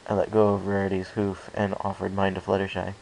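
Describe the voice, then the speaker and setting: A man's voice: deep voice, completely flat delivery, fairly quick, gravelly, darkish tone, slight background noise, low-quality recording. A man reading a first-person story aloud as scripted narration. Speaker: amateur fan fiction reader.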